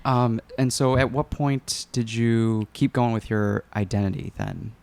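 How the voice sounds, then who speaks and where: A man hosting a casual interview, relaxed and encouraging, asking short questions. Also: medium pitch, unhurried, gravelly, no room sound. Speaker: podcast host.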